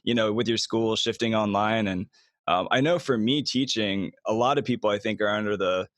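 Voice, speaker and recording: Male podcast host, lowish voice, relaxed and chatty, dry close mic. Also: moderate pace, no room sound. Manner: relaxed, chatty, uses "like" and "yeah" often. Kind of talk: casual interview chat.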